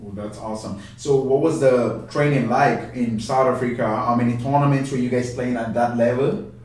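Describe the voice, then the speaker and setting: A man's voice: mid-range voice, fairly even intonation, moderate pace, darkish tone. A man hosting an interview show, asking questions and reacting effusively. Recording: very echoey room, distant mic.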